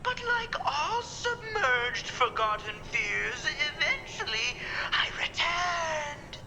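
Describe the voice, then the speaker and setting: Slow, sinister master of fear. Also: male voice, extremely high pitch, very animated, background noise, exaggerated voice. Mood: menacing. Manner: slow, deliberate, theatrical menace. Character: sinister male master of fear, taunting and menacing supervillain. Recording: loud music under the voice.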